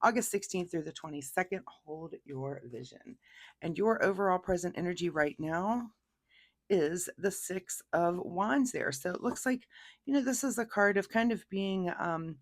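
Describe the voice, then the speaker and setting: A woman with a hoarse voice from laryngitis, giving a chatty, rambling tarot reading to her viewers. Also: on the low side, moderate pace. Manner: chatty, rambling, laughs easily. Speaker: tarot reader. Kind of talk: casual tarot reading to camera.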